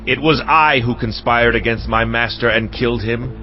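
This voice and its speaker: An actor playing a ruthless, zealous warrior king in a dramatized Bible audiobook, speaking in a slow, imperious, declamatory way. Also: medium pitch, lightly acted. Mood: bold, zealous. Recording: slightly dull audio, music behind. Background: background music.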